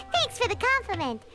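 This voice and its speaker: A woman's voice: very high-pitched, exaggerated voice. A woman voicing a small, bubbly fairy companion girl in a video game, eager and chirpy. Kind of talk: game dialogue.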